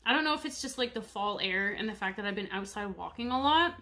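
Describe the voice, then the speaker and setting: A woman in her thirties talks to her webcam at home, her nose stuffed up and congested. Her voice is bright and a touch sharp, and she wanders from thought to thought with plenty of fillers. She keeps it friendly and chatty with her viewers. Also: medium pitch, animated, quick pace. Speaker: cross-stitch vlogger. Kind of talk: casual show-and-tell chat. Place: home room with bookshelves. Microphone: webcam at desk distance.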